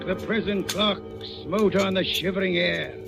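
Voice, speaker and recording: An actor playing a wry, thoughtful gentleman recounting a grim tale, measured and dry, in an old-time radio drama. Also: high-pitched, creaky. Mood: measured, grave. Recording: muffled old radio recording with music.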